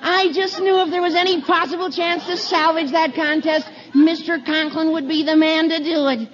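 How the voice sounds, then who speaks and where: An actor playing an overwrought, bookish high school boy with a cracking adolescent voice and grandiose wording, in 1950s radio comedy style. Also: steady tone, moderate pace, fairly bright tone, slight background noise. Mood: eager. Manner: florid, grandiose big-word delivery. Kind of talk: 1950s radio sitcom acting. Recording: dull old 1950 radio broadcast.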